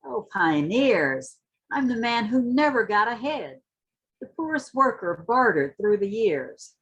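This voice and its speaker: A woman reads literature aloud in a husky voice that moves widely in pitch. Her pace is slow and deliberate, with stretched, emphatic words. The delivery is impassioned and dramatic, suited to poetry recitation. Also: very animated, fairly bright tone, dull audio. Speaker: reader at a community literary read-in.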